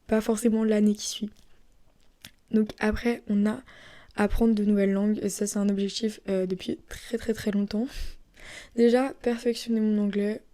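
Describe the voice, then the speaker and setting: A young woman from France chatting casually and rambling on her own podcast, often dropping into soft whispery asides. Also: medium pitch, little pitch movement, normal speed. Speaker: podcast host. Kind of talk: casual solo podcast chat.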